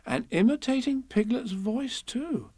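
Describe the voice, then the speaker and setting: A man voicing a motherly female kangaroo in a storybook, brisk and fussy, coaxing yet firm. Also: extremely high pitch, animated, darkish tone, dry recording, voice pushed up, exaggerated voice. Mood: soothing.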